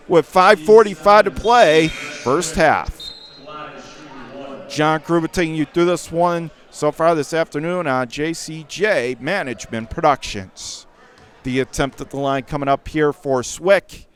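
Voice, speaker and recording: A man doing live radio play-by-play of a basketball game in clipped running phrases, with excitable calls. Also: fairly high pitch, a little slow. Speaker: play-by-play commentator.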